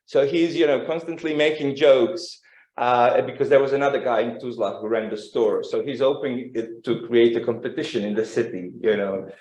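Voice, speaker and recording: A man gives an academic presentation in a halting, conversational manner, repeating words as he goes. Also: mid-range voice, a little mellow. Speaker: symposium speaker, music researcher. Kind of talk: academic presentation with informal asides. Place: indoor symposium room. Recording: roomy, echoing live talk.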